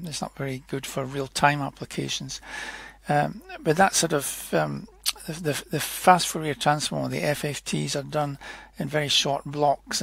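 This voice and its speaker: A man narrating an informal technical tutorial in a hesitant, halting way, often correcting himself as he explains. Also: on the high side, gravelly, fairly bright tone, husky, dry recording. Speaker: software demonstrator.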